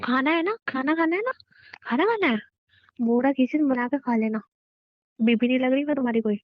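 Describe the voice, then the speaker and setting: Casting: woman as bitter, enraged wife in a home-made audio drama, high voice, scolding and repetitive, dull phone-recorded sound. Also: expressive, fairly quick, no room sound. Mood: bitter, scornful. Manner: scolding, repeats phrases insistently. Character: furious betrayed wife, bitter and defiant. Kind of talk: hobby audio drama, staged husband-wife quarrel. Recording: muffled phone call recording.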